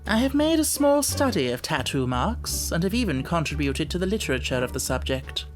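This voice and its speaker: A man voicing a brilliant gentleman detective in an audiobook story, self-assured, urbane and didactic, with wry amusement. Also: a little high, big pitch swings, brisk, soft background music. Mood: explanatory.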